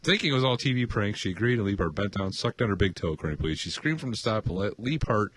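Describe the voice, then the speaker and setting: Low-voiced crude male podcast co-host, lively delivery. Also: fast, slightly rough, dry recording. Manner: crude, sarcastic, swears freely. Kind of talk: casual comic banter and reading out odd news stories.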